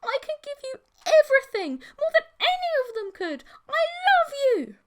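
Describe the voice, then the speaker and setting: A woman's voice: extremely high pitch, big pitch swings, normal speed, noisy background, voice pushed up. Woman voicing a shrieking, possessive monster girlfriend. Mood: desperate rage. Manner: yelling, scornful, desperate. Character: enraged possessive female siren girlfriend, monstrous and manipulative. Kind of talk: dramatic audiobook character voice.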